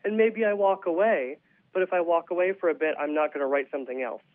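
A man's voice: a little high, fast. A man being interviewed as a playwright, explaining his thoughts reflectively in a conversational way. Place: phone call to a radio show. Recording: tinny narrow phone line.

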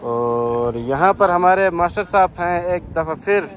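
A man's voice: high voice, animated, a little slow. A man, vlogging outdoors by a river in an enthusiastic, chatty way, full of fillers. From Pakistan. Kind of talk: outdoor vlog narration.

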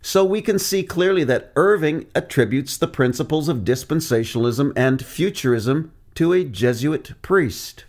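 A man delivering a scripted teaching narration as a preacher, measured and deliberate, reading out quotes from his sources. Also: a little high, lively intonation, a little mellow.

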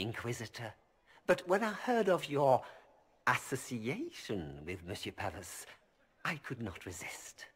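Scheming merchant, husky, ingratiating, game voice. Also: male voice, mid-range voice, exaggerated voice. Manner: smooth, ingratiating, formal. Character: scheming male merchant, oily and polite. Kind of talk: video game voice acting.

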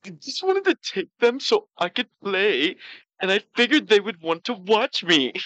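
A man voicing a pouty, self-justifying glutton who tells comic stories in a halting way. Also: high-pitched, big pitch swings, a bit bright, dry recording, rough recording. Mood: self-satisfied. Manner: pouty, slow, halting delivery. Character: pouty, self-justifying, gluttonous male talk show storyteller. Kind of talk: comedic in-character storytelling.

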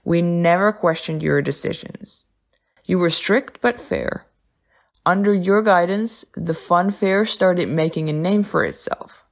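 A woman acting as a loyal, sober funfair worker in an audio drama, speaking in a measured, matter-of-fact way. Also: fairly low pitch, vocal fry. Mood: respectful.

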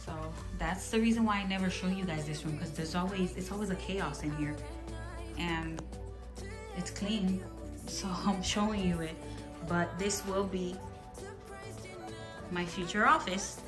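A woman's voice: on the low side, unhurried, pauses often, reverberant, over music. A woman casually showing her home and purchases on a handheld phone, talking in a rambling, self-correcting way. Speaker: home vlogger. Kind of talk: casual clean-with-me narration. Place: large bright home, kitchen and playroom. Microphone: handheld phone camera.

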